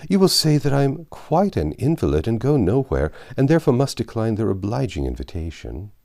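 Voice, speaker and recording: A man reading a storybook, voicing a gentle, fretful elderly father who fusses anxiously and plaintively. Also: mid-range voice, very animated, brisk, gravelly, pitched above the performer's own voice. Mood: fussing. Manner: fussy, plaintive. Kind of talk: audiobook character voice.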